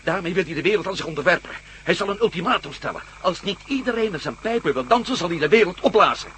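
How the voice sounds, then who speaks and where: An adventurer hero in a radio play, a bright, high, very lively voice. He asks questions eagerly and takes charge. A faint hiss runs under the recording. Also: quick pace. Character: resourceful male adventurer hero.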